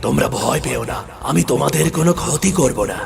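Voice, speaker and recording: A man's voice: mid-range voice, animated, fairly quick, gravelly. A man playing a cursed young prince turned talking fish, pleading and regretful, in a children's moral-story cartoon. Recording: music behind the voice.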